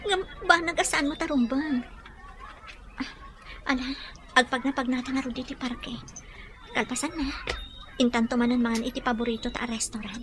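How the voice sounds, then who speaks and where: A woman's voice: high voice, steady tone, moderate pace, pauses often, a bit bright, slight background noise. An actress with a Filipino accent playing a wounded, trusting young woman in love in a radio drama. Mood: hurt, gentle.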